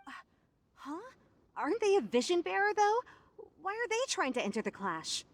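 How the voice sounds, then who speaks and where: A nervous young bystander in a video game. The voice is high and lively, gossipy and starstruck, recorded very dry. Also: female voice, normal speed, no echo, heavily acted. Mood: awed.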